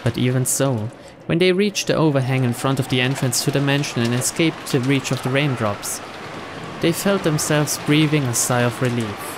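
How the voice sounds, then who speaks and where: A man reading visual novel narration in a steady, measured way. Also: medium pitch, noisy background.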